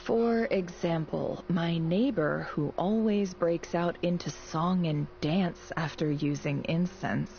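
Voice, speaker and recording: Game casting: a wry, matter-of-fact young female student, guarded, lowish pitch with noticeable vocal fry. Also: takes it slowly, hardly any pauses, a little mellow, highs cut off, slight background noise. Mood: reading aloud. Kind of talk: video game voice acting.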